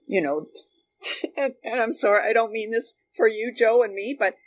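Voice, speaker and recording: A woman with a Canadian accent chatting casually and telling stories in an animated way, as a guest looking back. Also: a little high, moderate pace. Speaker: guest, former radio worker. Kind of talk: casual reminiscing conversation. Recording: noticeable background noise.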